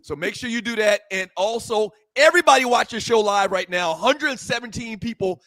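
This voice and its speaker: High-voiced man urging viewers to subscribe. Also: a little fast, bright, forceful tone, dry recording. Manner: pleading, pushes viewers to subscribe and share. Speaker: sports talk show co-host. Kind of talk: casual live show chat.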